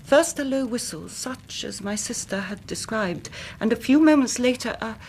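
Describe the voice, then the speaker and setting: An actress playing a grieving young English gentlewoman in a radio drama, speaking formally and precisely as a dramatic storyteller. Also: a little high, expressive, moderate pace, slight background noise, poor audio. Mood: sombre, earnest. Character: grieving young gentlewoman recounting her twin sister's death.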